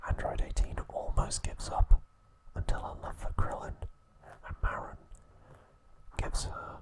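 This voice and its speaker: A man hosting ASMR, narrating in a soft, breathy whisper with a gentle, soothing manner and short, separated phrases. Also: measured pace. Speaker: ASMR channel host.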